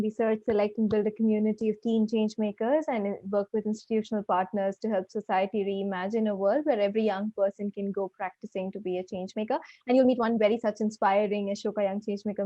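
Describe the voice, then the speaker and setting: A woman giving a prepared, earnest and formal presentation as a program director, with hesitant pauses. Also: mid-range voice, little pitch movement, dark tone, dry recording. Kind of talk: prepared presentation at an online festival. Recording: dull, muffled lo-fi audio.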